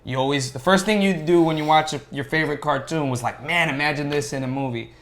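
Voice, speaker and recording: A man chatting casually and jokingly in a film podcast group discussion, with an animated way of talking. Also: fairly high pitch, quick pace. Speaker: podcast host.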